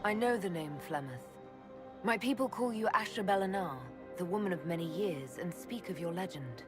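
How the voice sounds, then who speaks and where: An actress playing a composed, determined young heroine in a fantasy video game, speaking in an earnest, plain way. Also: female voice, on the low side, animated, moderate pace, lightly acted. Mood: composed, curious. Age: young adult. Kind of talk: dramatic video game acting. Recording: orchestral game music behind the voice.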